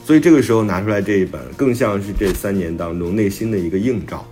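Low-pitched man chatting over music. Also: quick pace, warm tone. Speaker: novelist guest discussing own book. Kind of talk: casual conversational storytelling.

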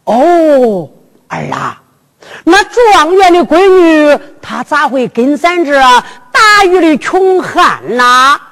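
A woman storyteller voicing an anxious, doting elderly father with a Henan accent, in a drawn-out theatrical delivery. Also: high voice, very animated, a bit bright, full character voice. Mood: anxious, questioning. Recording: long reverberant hall echo.

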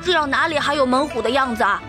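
Woman as rebellious tiger-demon boy, high voice, cocky, whiny, defiant, audio drama, music underneath. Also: fast talker, lightly acted. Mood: defiant, sulky.

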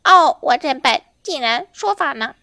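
A silly storybook parrot, mimics in a squawky, exaggerated way. The pitch is very high and swoops around, and the tone is piercingly bright. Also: female voice, sing-song, normal speed, slight background noise, exaggerated voice. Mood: self-reproaching. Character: silly, kind-hearted parrot who mimics everyone's words. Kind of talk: children's storybook character voice.

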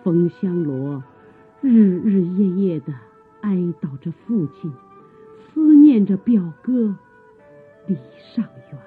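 A woman narrating a radio drama in a scripted, dramatic storytelling style, with a husky voice. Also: lowish voice, lively intonation, takes it slowly, faint music behind. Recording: dull, phone-like old radio recording.

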